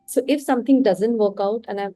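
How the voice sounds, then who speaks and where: Warm-voiced Indian woman, reflective. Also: on the high side, fairly quick, no room sound, clean studio sound, faint music behind. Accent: Indian English. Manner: reflective and candid, talks through her thoughts. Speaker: podcast guest. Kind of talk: casual interview conversation.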